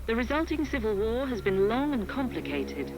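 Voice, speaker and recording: An actress playing a composed, serious war correspondent, giving a measured and articulate scripted report over a radio link. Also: female voice, a little high, big pitch swings. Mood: grave, measured. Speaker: field reporter. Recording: noisy radio field report.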